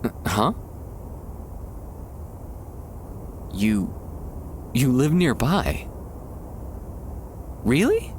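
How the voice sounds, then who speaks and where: A man playing a shy, tender young man confessing love to a childhood friend, hesitant and soft-hearted, in an intimate ASMR roleplay. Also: medium pitch, wildly swooping pitch, slight background noise, heavily acted. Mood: relieved, happy. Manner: soft-hearted, hesitant, halting with nervous chuckles. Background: quiet park ambience.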